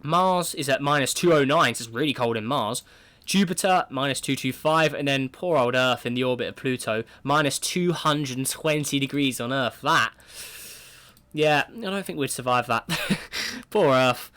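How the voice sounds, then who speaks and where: A man with a cold talks through a space simulation game at a mid-range pitch. He keeps up a relaxed running commentary, often restarting with "right, so", and his throat sounds sore. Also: normal speed. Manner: chatty, thinks aloud, often opens with "right, so". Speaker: gaming video creator.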